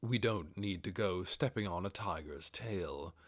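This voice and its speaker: A man voicing a cautious, gracious undead overlord in an audiobook, speaking in a measured, commanding way. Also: low voice, no echo. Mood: cautious, stern. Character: undead overlord with red-spark eyes, cautious, gracious master. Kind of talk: audiobook character acting.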